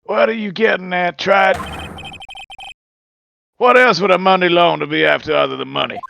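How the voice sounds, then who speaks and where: Man acting as male prosecutor, high-pitched, gravelly edge, condescending, challenging, game dub. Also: moderate pace, pushed and bright, muffled recording, slight background noise, full character voice. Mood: scornful. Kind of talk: fan dub of a video game.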